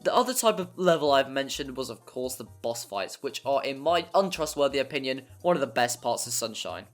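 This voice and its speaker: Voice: medium pitch, expressive, fairly quick. A narrator reading a scripted video game review in a wry, mildly sweary, hyperbolic way. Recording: music playing behind. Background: background music.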